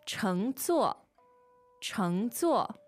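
Woman co-host with lively, unhurried delivery. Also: medium pitch, faint music behind. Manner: frequent agreeing, repeats phrases. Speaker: language lesson co-host. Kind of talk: conversational language teaching.

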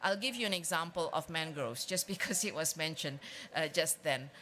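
A woman from Indonesia closing a conference keynote, speaking in a measured way with frequent pauses and fillers. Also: deep voice, lively intonation, sharp tone. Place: large conference hall.

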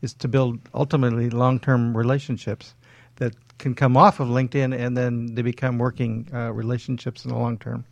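A man's voice: mid-range voice, level tone, fairly quick, gravelly, dry recording, muffled recording. A man giving conversational expert advice as a guest coach on a talk show.

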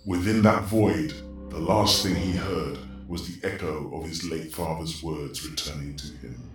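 An actor playing a grave storyteller, delivering scripted dramatic narration for a horror audio drama. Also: male voice, rumbling low, unhurried. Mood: grave, suspenseful. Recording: very echoey, distant, music behind.

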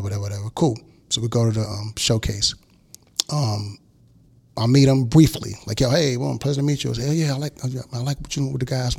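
A man with a gravelly, creaky voice in his forties, talking casually in an interview. He tells a long story and acts out what people said to him. His pitch sits in the middle for a man. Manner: animated storyteller acting out quotes. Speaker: guest record producer.

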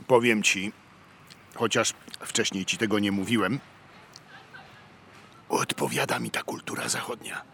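Pompous street drinker, swooping voice. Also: male voice, lowish voice, sing-song, measured pace, pauses often, a bit bright, slight background noise. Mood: contented, musing. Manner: colloquial slang mixed with mock-learned words. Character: male street-drinker philosophizing pompously about his way of life. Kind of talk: comic radio play dialogue.

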